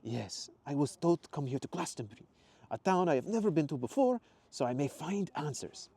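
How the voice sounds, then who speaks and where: An effusive Italian traveler acted by a man reading a storybook, earnest and grateful. The voice is raised and swings widely in pitch, with an Italian accent. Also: animated, brisk, warm tone, breathy edge. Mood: sincere, grateful.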